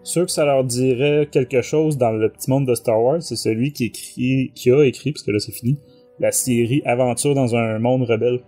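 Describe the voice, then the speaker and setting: Mellow-voiced Quebec man chatting casually. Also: medium pitch, fairly even intonation, normal speed, soft background music. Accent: Quebec French. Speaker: podcast co-host and reviewer. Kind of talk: casual chat.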